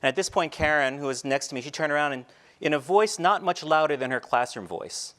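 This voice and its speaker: A middle-aged teacher telling personal stories in a talk on a large indoor stage, shifting between quiet reflective moments and light humor. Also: male voice, a little high, a little fast. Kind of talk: storytelling keynote talk. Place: large stage before a seated audience, indoors. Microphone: headset mic.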